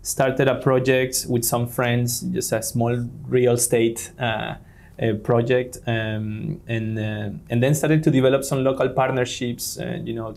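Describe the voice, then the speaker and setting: A man from Venezuela talks through an interview as the guest, pausing often on fillers and restarting his sentences. His pitch sits in an ordinary middle range, neither high nor low. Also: gravelly, darkish tone. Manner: hesitant, many fillers and restarts. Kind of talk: conversational interview.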